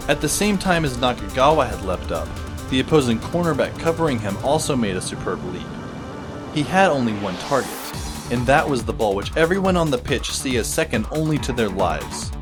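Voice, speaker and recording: A man's voice: mid-range voice, natural voice. A man playing a wry, observant teenage schoolboy who narrates an audio drama in a wordy, descriptive way. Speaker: first-person narrator. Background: music behind the voice.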